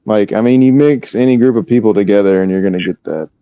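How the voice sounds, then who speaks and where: Low, mellow man on dull lo-fi audio. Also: quick pace, clean tone. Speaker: livestream panelist. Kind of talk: casual chat.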